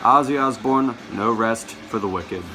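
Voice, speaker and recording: A man talks casually to his viewers at an ordinary pitch. He rambles, trips over his words and stops to correct himself before carrying on. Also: unhurried, noisy background. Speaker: vlogger showing his collection. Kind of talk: casual chat to camera.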